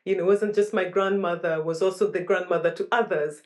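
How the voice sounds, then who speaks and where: A woman talks about her upbringing in a reflective, unhurried way. She often stops and restarts mid-phrase as she searches for words, which gives her speech a considered, searching quality. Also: fairly low pitch, steady tone. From Kenya. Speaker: guest. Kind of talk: reflective interview talk.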